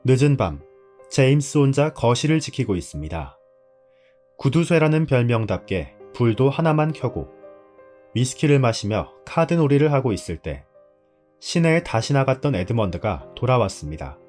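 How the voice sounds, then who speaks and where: A man's voice: medium pitch, big pitch swings, moderate pace, darkish tone. A man narrating a drama's story in a scripted storytelling style. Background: background music.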